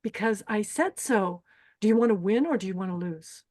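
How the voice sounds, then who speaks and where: A woman's voice: mid-range voice, expressive, normal speed, a little mellow, natural voice. A woman playing a menacing, deranged pageant host who bosses and threatens contestants in a screenplay table read. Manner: bossy, threatening.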